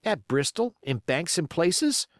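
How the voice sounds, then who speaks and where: A man's voice: fairly high pitch, very animated, slow, no room sound, clean studio sound, voice pushed up. A man playing a naive young seaman, eager and impressionable, in an adventure storybook. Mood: doubtful, questioning. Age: young adult. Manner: eager, wide-eyed, impressionable.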